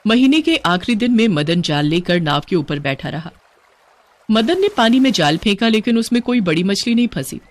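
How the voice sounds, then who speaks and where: Very expressive woman storyteller. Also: mid-range voice, normal speed. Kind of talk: scripted moral story narration.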